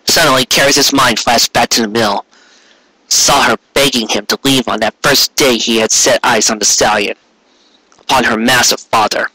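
Story narrator, dramatic delivery, medium pitch, bright tone, close dry sound. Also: steady tone, moderate pace, husky, no room sound, rough recording. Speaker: fan fiction reader.

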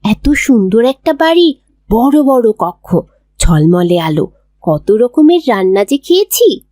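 A high, very animated schoolgirl voiced by a woman in a cartoon, recorded dry and close. She is chatty and proud, like a friend sharing news. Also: measured pace, no room sound. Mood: excited. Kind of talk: children's cartoon voice acting.

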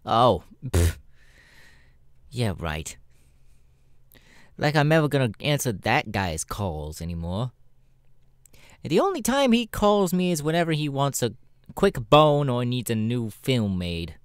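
A man's voice: mid-range voice, big pitch swings, takes it slowly, long pauses, clear, resonant tone, no room sound, studio quality. A man voicing a jaded, sassy, foul-mouthed male spider demon with a sardonic, weary drawl in an ASMR audio roleplay. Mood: bitter, resigned. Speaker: voice actor, ASMR roleplay artist.

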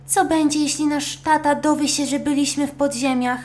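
A woman voicing an anxious, frightened little girl in a children's audio story, pleading and shrill. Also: fairly even intonation, moderate pace, a little mellow, slight background noise, close to an everyday voice. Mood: worried. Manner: shrill, fearful exclaiming.

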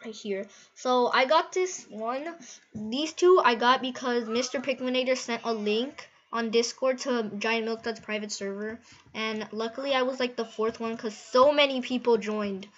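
A woman's voice: fairly high pitch, normal speed, a bit bright, dull audio. A woman giving casual, rambling, easily amazed gameplay commentary and giggling as she goes. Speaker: gaming video host.

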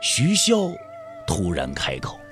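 Animated male narrator over music. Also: fairly low pitch, slow pace. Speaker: audio drama narrator. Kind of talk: scripted literary narration of a martial-arts novel.

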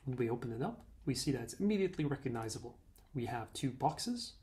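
A young man, a native Czech speaker, giving a clear step-by-step software demo on a video call. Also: medium pitch, big pitch swings, quick pace. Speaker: product demo presenter.

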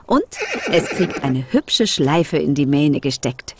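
A woman's voice: sits low, sing-song, takes it slowly, background noise. A woman narrating a scripted children's story for an audio play. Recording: music under the voice.

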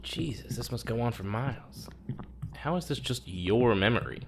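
A man's voice: mid-range voice, animated, moderate pace, creaky, noisy background. A man playing an anxious, hot-headed research subject in a naturalistic audio drama, stammering and informal. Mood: uneasy, awkward. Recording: faint background ambience.

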